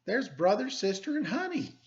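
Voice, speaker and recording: A fond, fussy elderly grandmother bear from a storybook, acted out by a man. The voice is lifted high, and the recording sounds slightly dull. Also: big pitch swings, normal speed. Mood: excited.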